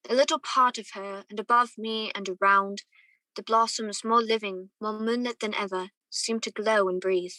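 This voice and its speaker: A woman acting a conflicted young gentleman in love, who narrates his own story in a radio play. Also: medium pitch, steady tone, moderate pace, dry recording, lightly acted, poor audio. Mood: wistful narration. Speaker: student or guest actor in a radio play.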